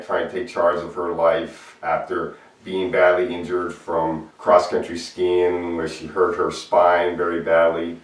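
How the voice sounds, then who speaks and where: A man's voice: low-pitched, far from the mic. A man giving an earnest, plain-spoken film review in a solo video. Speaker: movie reviewer. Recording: echoey room, voice off-mic.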